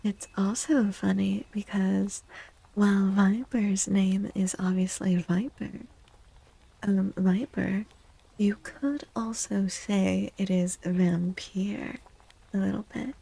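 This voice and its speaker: Female ASMR streamer, soft and breathy with plenty of vocal fry, unhurried, slipping into whispers. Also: medium pitch, fairly even intonation, low-quality recording. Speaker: live streamer doing tarot readings for chat.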